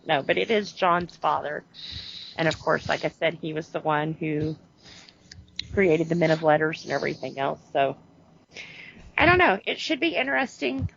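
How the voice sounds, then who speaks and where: A woman's voice: lowish voice, creaky, a bit bright, dull audio, a little hiss or hum. A woman co-hosting a podcast in casual chat, rambling and correcting herself as she goes, laughing often. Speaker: podcast co-host.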